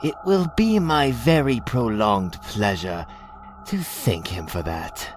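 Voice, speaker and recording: Smug alien pirate lord, mock polite, slow and super swoopy, music under it. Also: male voice, fairly low pitch, expressive. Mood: mocking, self-satisfied. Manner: mock-polite, sardonic, drawn-out menace. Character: sly, mocking, theatrical alien raider lord, male. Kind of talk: scripted audio drama acting.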